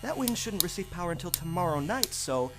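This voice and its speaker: An actor playing a timid, overworked power operator in an audio drama, stammering, nervous and apologetic. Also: male voice, high-pitched, very animated, a little fast, over loud music. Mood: anxious, apologetic. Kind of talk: theatrical audio drama acting.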